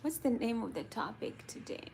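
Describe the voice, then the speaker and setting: A woman's voice: fairly high pitch, big pitch swings, moderate pace, gravelly, a little hiss or hum. A woman hosting a casual livestream, chatting and reading viewer comments aloud. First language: Kamba.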